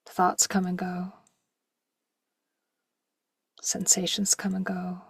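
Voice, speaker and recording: A woman leading a guided meditation slowly and gently in short, soft phrases, with a breathy voice that at times nears a whisper. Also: fairly low pitch, completely flat delivery, dry recording, clean studio sound. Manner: slow, gentle, with long pauses and short phrases.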